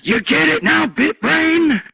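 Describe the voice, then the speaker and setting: Man playing a gruff haunted firefighter, sharp low voice, very slow, dramatic reading. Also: expressive, no room sound, heavily acted. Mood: angry. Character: gruff embittered male ex-firefighter, haunted, angry at machines. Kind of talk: dramatic live script reading.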